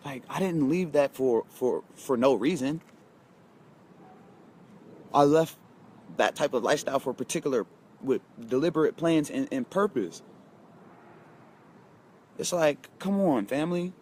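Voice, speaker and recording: A man's voice: a little high, animated, a little slow, long pauses, darkish tone, a little hiss or hum. A man in his twenties giving a casual motivational talk, emphatic, repeating himself and speaking straight to his viewers. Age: 20s. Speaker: motivational vlogger.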